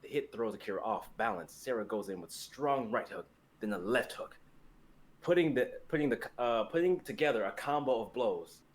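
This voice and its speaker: A man's voice: medium pitch, expressive, normal speed, slight background noise. A young man reading stage directions as the narrator of a dramatic fantasy script. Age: young adult.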